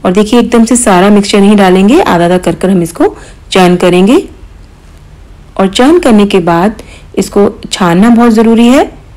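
A woman hosting a cooking channel, calmly explaining each step of a recipe in voice-over, with occasional small hesitations. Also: medium pitch, moderate pace, poor audio. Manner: calm step-by-step instruction with mild hesitations.

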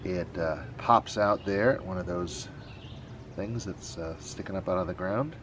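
Middle-aged male vlog host, low-pitched, casual and halting explainer, outdoor recording with noticeable background noise. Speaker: channel host. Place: outdoors in a leafy backyard.

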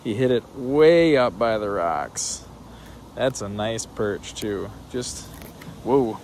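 A man casually vlogging while fishing outdoors on a rocky riverbank, upbeat and excitable, recorded on a body-worn camera. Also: fairly low pitch, slow pace. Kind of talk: casual outdoor vlog narration. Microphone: body-worn action camera. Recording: noisy outdoor camera audio. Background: passing train, wind and outdoor noise.

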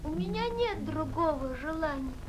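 An actress playing a tiny, gentle, wistful young girl in a classic cartoon, meek and tender in manner. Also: high-pitched, animated, normal speed, slight background noise, low-quality recording. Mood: meek, pleading. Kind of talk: classic Soviet cartoon voice acting. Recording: old cartoon soundtrack with music.

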